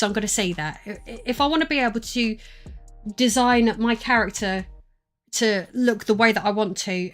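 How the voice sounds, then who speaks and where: A woman's voice: medium pitch, fairly bright tone. A woman in her thirties with an Estuary English accent, chatting casually to her live-stream audience from a room at home, rambling with lots of fillers and restarts. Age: 30s.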